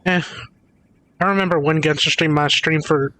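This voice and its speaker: A man's voice: a little high, fairly even intonation, normal speed, bright, forceful tone. A man livestreaming games, chatting casually and musing aloud in a rambling way. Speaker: game streamer.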